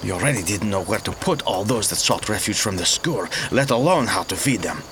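A man's voice: on the low side, lively intonation, fairly quick, noisy background, lightly acted. A man playing a worried, curious village headchief in a fantasy audio drama, with a questioning, plain-spoken manner. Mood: weary, burdened.

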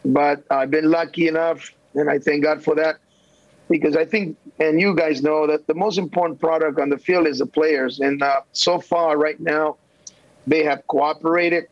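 A middle-aged man from El Salvador, a national team coach, answering interview questions haltingly with many fillers and restarts. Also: fairly high pitch, fairly even intonation, no echo. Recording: dull, dry remote line.

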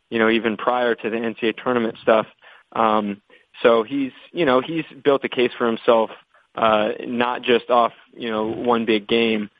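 American man on a tinny phone line. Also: medium pitch. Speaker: basketball draft analyst. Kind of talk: media conference call question-and-answer. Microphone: telephone.

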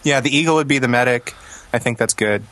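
A man's voice: medium pitch, fast talker, a bit bright, rough recording. A man chatting casually as a podcast host. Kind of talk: casual chat.

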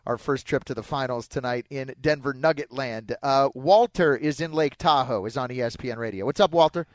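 A man hosting a sports radio call-in show with lively, jokey banter. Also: medium pitch, fairly quick, dry recording.

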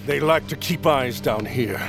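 An actor playing the diplomatic leader of an underground band of outcasts in an audio drama, with a gravelly voice. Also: male voice, mid-range voice, unhurried, music in the background. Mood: defensive. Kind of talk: audio fiction drama acting.

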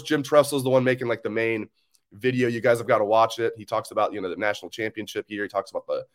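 A man with a scratchy voice, hosting his own sports show, talking in a loose, opinionated, rambling way. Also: fairly low pitch. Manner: animated, opinionated, rambling fan talk. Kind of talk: casual solo sports talk.

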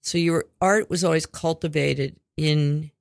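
A woman's voice: sits low, steady tone, measured pace, gravelly, no echo. A woman hosting a casual community radio interview, rambling and chatty with offhand swearing. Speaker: radio show host.

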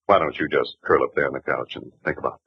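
A cajoling ex-con robber; low, husky, dull vintage broadcast. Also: male voice, fairly even intonation, fast talker, exaggerated voice. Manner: cajoling, condescending. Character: smooth-talking ex-convict bank robber. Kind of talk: 1940s radio acting. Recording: dull old radio broadcast.

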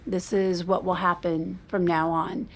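A woman's voice: on the low side, little pitch movement, measured pace. An actress playing a guarded, strained mother in a naturalistic audio drama. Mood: guarded, firm. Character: guarded, strained middle-class mother, secretly selling fantasies. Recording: background music under dialogue.

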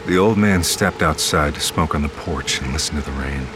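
A man playing a grave, portentous storyteller, narrating an audio drama in a measured, ominous manner. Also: very deep voice, noisy background. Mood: grave, foreboding. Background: music.

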